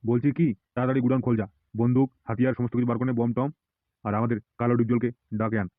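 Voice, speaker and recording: A man's voice: medium pitch, little pitch movement, fast talker, dry recording, narrow, tinny audio, natural voice. A man voicing a bossy, foul-mouthed, easily rattled politician gang boss in a comic cartoon, barking orders. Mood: flustered, urgent. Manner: blustering, swearing, barking orders.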